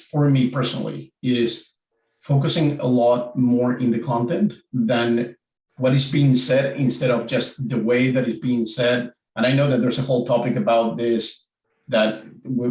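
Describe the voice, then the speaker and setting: A man's voice: medium pitch, a little mellow, echoey room, recorded from a distance, poor audio. A man from Argentina with an Argentine Spanish accent, speaking reflectively on a virtual panel and often repeating words as he thinks.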